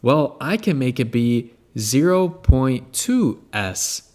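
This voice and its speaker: A man narrating a software tutorial in a casual, step-by-step way as he demonstrates on screen. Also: mid-range voice, very animated, slow pace.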